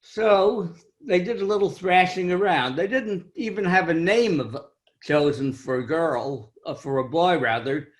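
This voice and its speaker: An older man with a high-pitched voice tells a wry, self-deprecating story about his own life in a club speech over a video call. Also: a little slow. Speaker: club speaker.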